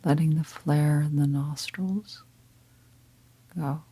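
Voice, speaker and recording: A woman leading a guided meditation in a calm, gentle, breathy half-whisper, unhurried and with long pauses. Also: low voice. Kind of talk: guided meditation, slow soft-spoken instruction.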